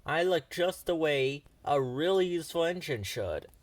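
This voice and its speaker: A man voicing a boastful, excitable young male steam engine in a children's storybook. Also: a little high, normal speed, no room sound, natural voice. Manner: boastful, exclamatory.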